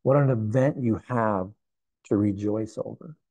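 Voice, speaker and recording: An older man in his sixties preaches earnestly from a church, pausing to reflect and repeating his key phrases. His voice is low, gravelly and husky, with a mellow darkness, and the sound is dry and close. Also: no room sound. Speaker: pastor. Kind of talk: sermon. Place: indoor church sanctuary.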